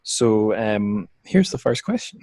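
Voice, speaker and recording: Podcast host, male, from Scotland. Deep register, hesitant conversational phrasing, dry recording. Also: big pitch swings, takes it slowly, a little mellow, no room sound. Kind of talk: casual interview chat.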